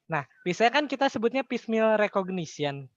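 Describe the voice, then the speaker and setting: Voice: mid-range voice, very animated, fairly quick, no echo. An Indonesian-accented tutor talks in a casual, colloquial way, walking through material step by step. Rhetorical questions break up the explanation. The sound is dry and slightly dull, with very little room in it. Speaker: accounting tutor.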